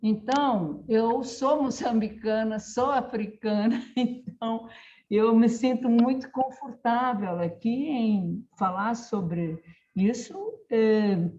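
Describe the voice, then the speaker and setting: A woman's voice: fairly high pitch, slow, dark tone. A woman from Mozambique with a European Portuguese accent, speaking at an online panel, hesitant with fillers and self-corrections. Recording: dull lo-fi video call audio.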